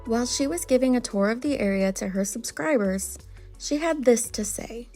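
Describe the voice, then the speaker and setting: A woman's voice: fairly high pitch, animated, normal speed, dark tone, faint music behind. A woman hosting a commentary channel, reading a scripted voice-over in an earnest, critical way. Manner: reads from notes, critical and earnest.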